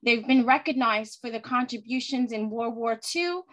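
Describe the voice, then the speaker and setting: A woman's voice: a little high, moderate pace, fairly bright tone. A woman of Puerto Rican descent giving an earnest, heartfelt prepared speech as a panelist at a virtual heritage event. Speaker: panelist speaker.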